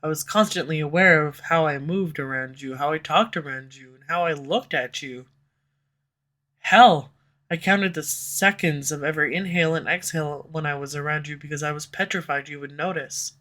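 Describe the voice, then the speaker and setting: A woman voicing a sharp-tongued, tsundere female villain who swears and speaks sarcastically, recorded close on a studio condenser mic. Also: low voice, moderate pace. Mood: confessional. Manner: sarcastic, swears, turns confessional. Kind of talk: audio roleplay. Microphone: studio USB condenser mic.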